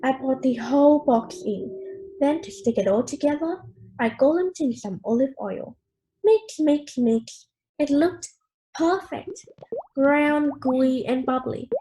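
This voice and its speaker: Female storyteller, very high pitch, soft mellow tone, expressive children's book narration. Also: takes it slowly, slight background noise, rough recording. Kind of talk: expressive read-aloud storytelling.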